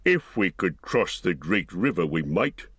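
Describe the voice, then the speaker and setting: A man voicing a wary orc foot soldier with a low, grumbling delivery. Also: sing-song, a little slow, fairly bright tone, slightly rough, dry recording, studio quality, exaggerated voice.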